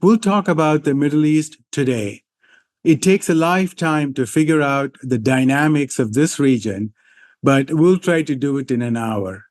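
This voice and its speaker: A man hosting an interview podcast, speaking haltingly with many fillers. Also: on the high side, darkish tone. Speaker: podcast host.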